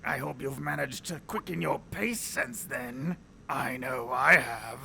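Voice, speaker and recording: Man voicing a smug captured villain, gravelly, bright, slow and drawn-out, faint hiss. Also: mid-range voice, full character voice. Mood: mocking. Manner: pompous, mocking, drawn-out villain delivery. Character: gloating captured enemy officer, smug and theatrical. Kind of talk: audio drama acting.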